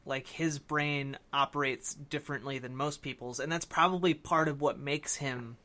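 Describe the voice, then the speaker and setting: A man's voice: medium pitch, steady tone, moderate pace, bright, forceful tone. A man co-hosting a casual podcast discussion, feeling out his phrases with lots of "like". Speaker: podcast co-host. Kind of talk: casual discussion of a film and games.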